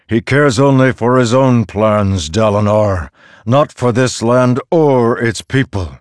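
A man's voice: sits low, takes it slowly, darkish tone, breathy edge, no echo, studio quality, full character voice. Man voicing a thundering, domineering storm spirit. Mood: harsh, scornful. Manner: stern, imperious, accusatory. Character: thundering, ancient, godlike storm spirit, male, domineering and disapproving. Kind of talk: dramatic fantasy audiobook character.

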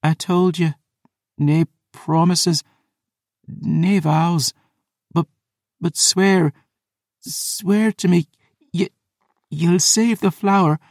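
A man's voice: high-pitched, fairly even intonation, no room sound, studio quality, pitched above the performer's own voice, heavily acted. Man voicing a pained, gasping Scottish warrior woman. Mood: urgent, pleading. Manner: halting, broken by pain. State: in pain, short of breath. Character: gravely wounded young woman warrior, defiant, gasping in agony. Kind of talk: audiobook character voice.

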